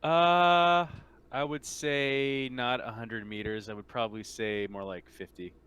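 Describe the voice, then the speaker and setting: A man running a tabletop game as game master, narrating casually in halting, hesitant phrases over online play. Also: mid-range voice, steady tone, a bit bright, clean tone, dry recording, highs cut off.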